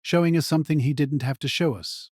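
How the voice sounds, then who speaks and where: Audio drama role: calculating mercenary mage, male actor, terse and controlled, lightly pitched, very dry recording. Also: fairly quick, a little mellow, no room sound, natural voice. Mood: cool, analytical. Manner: terse, clipped, controlled commands. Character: Kiritsugu, cold, calculating male mage mercenary giving orders.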